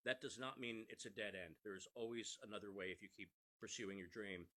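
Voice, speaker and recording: Guy with a lowish voice being interviewed, earnest, kinda doubles up words when starting out. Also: brisk, bright, forceful tone. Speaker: screenwriter and podcast creator, interview guest. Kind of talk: earnest conversational interview talk.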